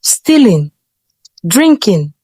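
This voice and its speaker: A woman narrating a scripted folktale in a measured, dramatic storytelling manner. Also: mid-range voice, sing-song, warm tone, husky, no echo, clean studio sound.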